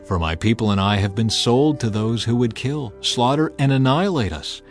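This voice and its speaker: A man's voice: medium pitch, normal speed, faint music behind, close to an everyday voice. A man voicing an earnest, dignified ancient queen in a formal, solemn way for a dramatized audiobook. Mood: pleading. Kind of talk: dramatized audiobook character acting.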